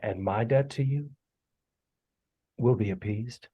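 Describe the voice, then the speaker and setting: A man acting a creepy mysterious stranger who preaches doom solemnly in a husky voice, reading a horror script. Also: medium pitch, highs cut off. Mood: solemn, menacing. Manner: slow, solemn, scripture-quoting delivery. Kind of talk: table read of a horror script.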